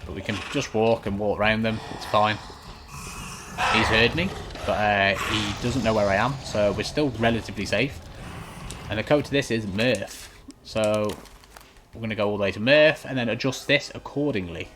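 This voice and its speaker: A man with a fairly low voice talks viewers through a video game. His commentary is casual and instructional, matter-of-fact and reassuring. There is clear noise and some clicking behind him. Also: normal speed. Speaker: gaming video host giving a walkthrough. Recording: clearly noisy home recording.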